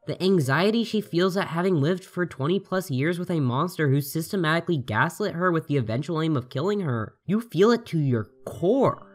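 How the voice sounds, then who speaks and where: A reviewer reading a scripted video essay in an articulate, essayistic way. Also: medium pitch. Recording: voice over background music. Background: music.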